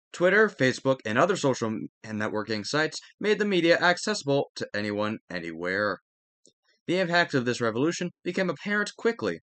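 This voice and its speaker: A man's voice: mid-range voice, fairly bright tone, no room sound. A man reading a textbook aloud as a lesson, now and then stumbling and repeating a phrase to correct himself. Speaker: reader narrating a history textbook aloud. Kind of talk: educational read-aloud with brief asides.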